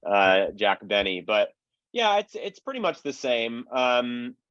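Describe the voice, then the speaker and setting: The sound is a little dull and very dry. A man with a medium-pitched voice gives casual, analytical panel commentary, qualifying his points as he explains. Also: big pitch swings, takes it slowly, a bit bright, no room sound.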